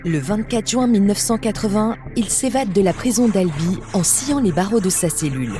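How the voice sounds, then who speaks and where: A woman's voice: mid-range voice, lively intonation, normal speed, mellow. A woman reading a scripted true-crime story as a podcast narrator. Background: music.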